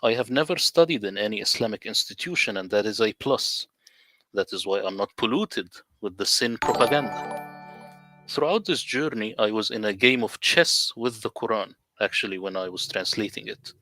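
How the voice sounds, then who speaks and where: Man w arabic as first language, lowish voice, dry close sound, kinda lecturing, on a video call. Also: lively intonation, sharp tone, dry recording, soft background music. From Middle East. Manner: deliberate, emphatic, lecturing. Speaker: guest. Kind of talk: casual livestream conversation.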